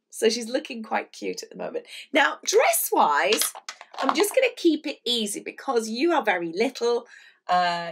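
A middle-aged woman leading a children's drawing lesson in a chatty, encouraging, animated way. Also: on the high side. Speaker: illustrator leading a children's draw-along. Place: small indoor room at a whiteboard.

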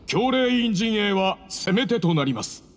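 An actor playing a formal, reverent master of a sacred duel ceremony in a video game, in declamatory speech. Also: male voice, high-pitched, big pitch swings, unhurried. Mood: solemn. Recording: echoing hall, faint music behind.

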